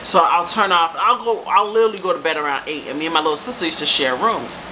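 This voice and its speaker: A woman in her thirties with a deep voice, telling a casual storytime to her viewers and calling them baby and girl. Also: fast. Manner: chatty, calls viewers baby and girl, lively asides. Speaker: storytime vlogger. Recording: steady air-conditioner hum behind.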